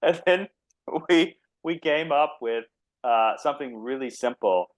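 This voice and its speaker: A man from Texas speaks in a relaxed, earnest way as an interview guest, laughing often between his thoughts. His voice sits in the middle range. The sound is close and dry, with no room behind it. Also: medium pitch, measured pace, no room sound. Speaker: biotech company founder, guest. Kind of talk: conversational interview answers.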